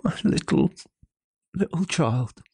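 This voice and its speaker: A gentle, middle-aged family man acted out by a woman narrator, very deep and heavily husky. His gravelly words are tender and heavy with grief, as in a storybook drama. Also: unhurried, dry recording, studio quality, full character voice. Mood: grief-stricken. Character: gentle grieving working-class father, middle-aged man. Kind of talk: dramatised audiobook character voice.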